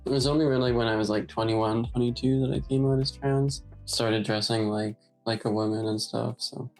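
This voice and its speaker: A male-sounding co-host with a gravelly, creaky voice, chatting casually with wry understatement. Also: medium pitch, fairly even intonation, brisk, darkish tone, faint music behind, rough recording. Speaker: podcast co-host. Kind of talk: casual chat.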